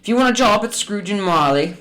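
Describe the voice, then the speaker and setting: A man's voice: high-pitched, animated, brisk, fairly bright tone, rough recording. A man playing a crotchety, scolding old miser businessman in a radio drama. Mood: stern, accusing. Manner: scolding, indignant, barking demands. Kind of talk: stage-like radio drama acting.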